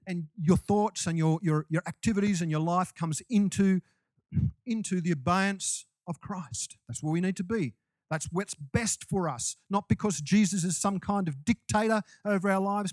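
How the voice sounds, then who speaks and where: A man's voice: high voice, no room sound. A man preaching a sermon to his congregation in a loose, conversational way, with restarts and asides. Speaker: pastor.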